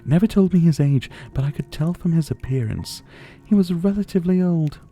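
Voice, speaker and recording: A man's voice: a little high, warm tone, faint music behind, natural voice. A man playing a menacing, teasing vampire captor in an intimate ASMR roleplay, drawling and mockingly polite. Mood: wry, amused. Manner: slow, drawling, mockingly polite, with breathy chuckles. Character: menacing, teasing male vampire captor, silver-haired, about seventy.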